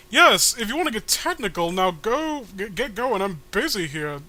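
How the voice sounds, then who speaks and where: A man's voice: sits high, bright, forceful tone, pitched above the performer's own voice, full character voice. A man voicing a brusque, flippant clerk from hell in a comic read-aloud.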